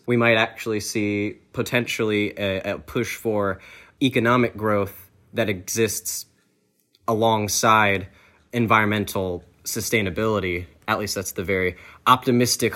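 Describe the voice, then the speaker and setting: Man, low voice, podcast co-host, earnest discussion. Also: fairly bright tone.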